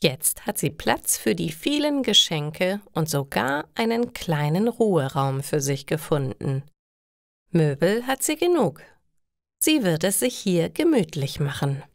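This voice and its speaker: A woman reading simple stories for language learners as a narrator, in a slow, careful storytelling style. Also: on the low side, very animated, creaky, no echo, clean studio sound.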